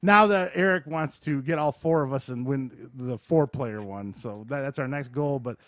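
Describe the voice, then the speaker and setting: A middle-aged man hosting a podcast, telling casual anecdotes in an animated, excitable way with some swearing. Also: mid-range voice, normal speed, no echo, clean studio sound. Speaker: podcast host.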